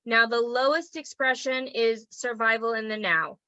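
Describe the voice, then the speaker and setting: Woman teaching a group online, voice kinda worn out, bright and a bit high, dry muffled sound, keeps saying okay?. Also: steady tone, moderate pace, dry recording. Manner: chatty and encouraging, frequent "okay?" check-ins. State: losing her voice. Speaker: human design teacher.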